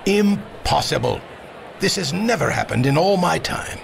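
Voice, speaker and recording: An actor playing a stately veteran war advisor in a fantasy audio drama, speaking formally and with authority. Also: male voice, a little high, expressive, unhurried, creaky, background noise, exaggerated voice. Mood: grave, decisive.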